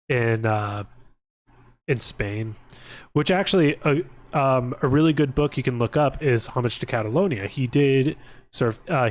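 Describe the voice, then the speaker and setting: A man chatting casually on a livestream, informal and sweary, often interrupting himself and drifting onto tangents. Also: mid-range voice. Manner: profane, informal, tangent-prone, self-interrupting. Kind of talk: casual rambling livestream chat.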